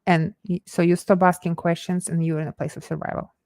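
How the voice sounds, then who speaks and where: Ukrainian-accented woman, low dry voice. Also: flat delivery, darkish tone, no echo. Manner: earnest, halting English with dropped articles. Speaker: guest, mindset coach. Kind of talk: casual interview talk.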